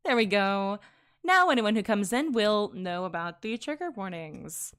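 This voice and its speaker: Slow-talking giggly woman streamer. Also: medium pitch, lively intonation, fairly bright tone, clean tone. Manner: giggly, chatty, laughs often between sentences; self-interrupting, corrects herself mid-sentence. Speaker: VTuber streamer. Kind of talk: casual livestream chat.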